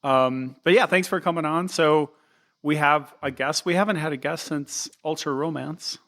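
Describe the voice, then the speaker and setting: A man co-hosting a podcast, laid-back and hesitant, with many pauses and fillers. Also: on the high side, fairly bright tone. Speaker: podcast co-host. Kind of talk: casual chat.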